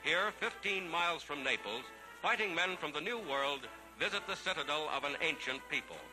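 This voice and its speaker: Dramatic American 1940s newsreel man over loud music. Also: fairly high pitch, animated, moderate pace, poor audio. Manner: declamatory, dramatic announcer delivery. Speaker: newsreel narrator. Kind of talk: 1940s newsreel scripted narration. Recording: vintage 1940s newsreel soundtrack.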